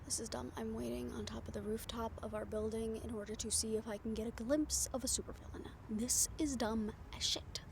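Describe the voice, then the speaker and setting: Woman acting a brash, higher-voiced cynical female reporter. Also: expressive, brisk, few pauses, noisy background. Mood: muttering, frustrated. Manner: sardonic, blunt. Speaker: voice actor in an audio roleplay. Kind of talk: improvised audio roleplay acting.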